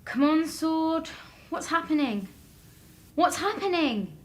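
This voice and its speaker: A woman's voice: sits high, expressive, takes it slowly. A woman playing an anxious, inquisitive young female companion in an audio drama, earnest and questioning. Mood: worried.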